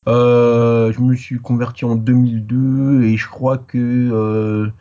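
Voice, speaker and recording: A man born in France, answering interview questions in a relaxed way, pausing often with fillers as he looks for his words. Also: mid-range voice, lo-fi sound. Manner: hesitant, many pauses and fillers. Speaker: interview guest. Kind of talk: casual interview.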